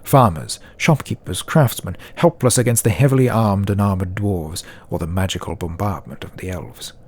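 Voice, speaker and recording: A man's voice: low-pitched, normal speed, darkish tone, slightly rough. A man narrating a scripted fantasy audiobook as a dramatic storyteller.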